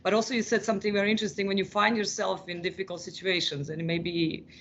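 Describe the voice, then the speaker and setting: A man's voice: sits high, little pitch movement, fast, fairly bright tone, muffled recording, slight background noise. A man moderating a webinar panel, thoughtful, often repeating words while he searches for them.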